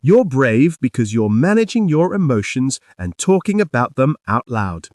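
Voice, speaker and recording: Man voicing a patient mum, encouraging, steady, lively pitch, dry close sound. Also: moderate pace, dry recording, close to an everyday voice.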